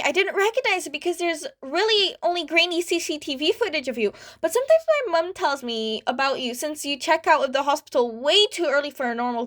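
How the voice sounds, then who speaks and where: A woman voicing a gushing excitable young boy. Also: very high-pitched, pitched above the performer's own voice. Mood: starstruck gushing. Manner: breathless, gushing, apologetic. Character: eager jittery young boy fan.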